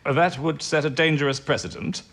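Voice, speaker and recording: An actor playing a condescending, penny-pinching intelligence administrator in a wartime drama, with a patronising, old-fashioned manner. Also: male voice, a little high, fairly even intonation, moderate pace, fairly bright tone. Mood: dismissive. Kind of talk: period audio drama acting.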